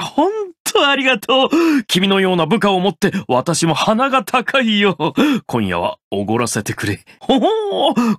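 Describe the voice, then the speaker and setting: A male actor playing a harried middle-aged sales manager in a video game, fussy and excitable, with stiffly polite office speech. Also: fairly high pitch, full character voice. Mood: pleased, praising. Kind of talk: theatrical Japanese game voice acting.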